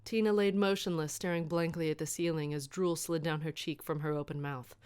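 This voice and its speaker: A woman's voice: sits low, steady tone, brisk, darkish tone, smooth. A woman reading scripted comic fan fiction aloud as its narrator.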